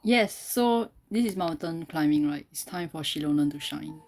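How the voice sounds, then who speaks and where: A woman's voice: sits low, lively intonation, normal speed, very noisy. A woman giving casual gameplay commentary as she plays. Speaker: gamer. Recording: loud game music behind the voice.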